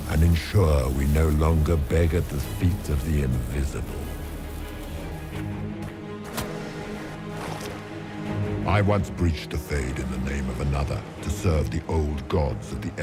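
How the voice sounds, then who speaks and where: An actor playing an ancient monstrous villain who would be a god in a video game, speaking with grandiose, commanding menace. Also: male voice, rumbling low. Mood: grandiose, brooding. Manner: grandiose, slow, commanding declamation.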